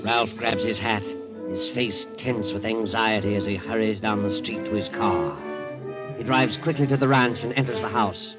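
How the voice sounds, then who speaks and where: An actor playing an ominous, knowing narrator of a 1940s radio crime tale. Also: male voice, fairly low pitch, steady tone, moderate pace, close to an everyday voice. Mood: tense, ominous. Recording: lo-fi 1940s radio recording, loud music behind. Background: loud music.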